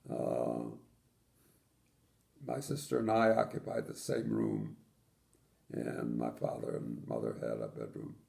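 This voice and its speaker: A man's voice: rumbling low, takes it slowly, creaky, pauses often, dark tone. An older man from Poland, telling his childhood memories in an oral history interview with dry, matter-of-fact humor.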